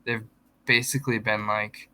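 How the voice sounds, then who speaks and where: A man's voice: on the low side, flat delivery, normal speed, pushed and bright, clear, resonant tone. A man in casual podcast chat, explaining politics at length and correcting himself as he goes. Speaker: podcast co-host.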